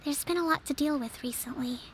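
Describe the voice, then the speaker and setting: A woman voicing a calm young priestess leader. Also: high-pitched, a little fast, a little hiss or hum, lightly acted. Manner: composed, formal, gently authoritative. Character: young female divine priestess, calm and measured. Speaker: island leader. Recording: game audio with soft music behind.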